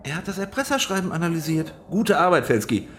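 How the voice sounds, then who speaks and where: An actor playing a smug, wealthy boss in a radio crime play, lecturing pompously and with amused condescension. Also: male voice, fairly high pitch, sing-song, fast talker, a bit bright, slight background noise. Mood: smug philosophizing.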